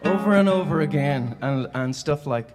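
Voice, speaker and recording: A man's voice: fairly high pitch, expressive, normal speed, loud music behind the voice. A man with a Northern Irish accent from around Belfast, speaking informally and conversationally as he tells personal stories in a live keynote talk. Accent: Northern Irish, Belfast area. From Northern Ireland. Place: on stage before a live audience.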